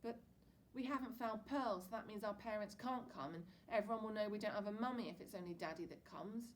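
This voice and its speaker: A woman voicing an excitable young boy, the narrator's little brother. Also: medium pitch, fairly quick, distant mic, slight background noise, pitched above the performer's own voice, close to an everyday voice. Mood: eager.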